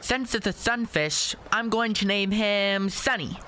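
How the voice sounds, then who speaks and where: A man's voice: high voice, unhurried, pushed and bright, a little hiss or hum. An actor playing an eager, blunt young boy who chatters proudly in a radio play. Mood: excited, proud.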